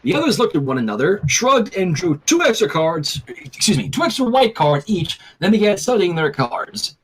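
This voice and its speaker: A man's voice: sits high, very animated, normal speed, husky, low-quality recording. A man reading a fan fiction story theatrically, breaking in with casual asides. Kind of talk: animated story narration with asides.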